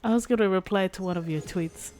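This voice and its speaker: A woman's voice: mid-range voice, quick pace, darkish tone. A woman chatting casually on a livestream as she plays a game, with a playful, giggly manner. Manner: giggly, playful, prone to breaking into laughter. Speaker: streamer playing a game and chatting with viewers. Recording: game music faintly behind the voice. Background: game music.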